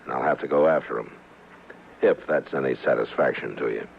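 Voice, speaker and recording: A man's voice: extremely low pitch, fairly quick, creaky, a little hiss or hum. An American man playing a stern, weary Old West marshal in a radio western, speaking tersely and with authority. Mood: firm, dismissive. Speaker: radio drama lead and narrator. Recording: narrow-band old radio recording with music.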